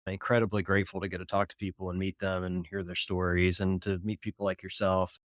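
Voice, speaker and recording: A man's voice: low voice, little pitch movement, fast, no room sound, studio quality. A man hosting a podcast, chatting in a rambling, laid-back way with his guest. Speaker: podcast host. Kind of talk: casual chat.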